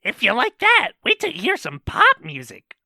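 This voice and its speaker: High-pitched cheeky cartoon monkey played by a woman. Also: normal speed, no room sound, exaggerated voice. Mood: upbeat. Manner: animated, sassy. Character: cheeky thirty-year-old male cartoon monkey, chatty and opinionated. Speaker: co-host of a fan question show. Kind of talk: fan audio drama voice acting. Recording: dry voice over background music.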